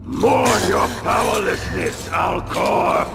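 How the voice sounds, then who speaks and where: An actor playing an arrogant, scornful villain in a video game cutscene, gravelly, haughty and theatrical. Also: male voice, sits high, lively intonation, takes it slowly, very noisy, heavily acted. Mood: menacing. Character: arrogant dark sorcerer villain, scornful and obsessive. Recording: loud music behind the voice. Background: loud game soundtrack music.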